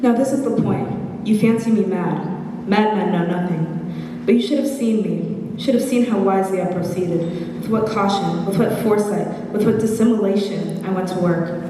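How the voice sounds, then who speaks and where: A woman's voice: mid-range voice, normal speed, lightly acted. A teenage girl playing a calculating, boastful mad murderer in a gothic horror stage monologue. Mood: boastful, insistent. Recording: distant echoey hall, loud background noise.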